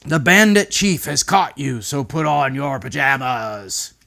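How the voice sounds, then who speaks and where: A man voicing a playful but firm dad in a children's storybook, loud and commanding as he orders bedtime. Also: medium pitch, very animated, measured pace, creaky, full character voice.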